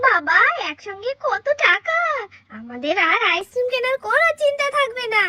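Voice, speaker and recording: A woman voicing an eager, stubborn, excitable little girl with a whiny edge in a children's doll story. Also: very high-pitched, normal speed, exaggerated voice.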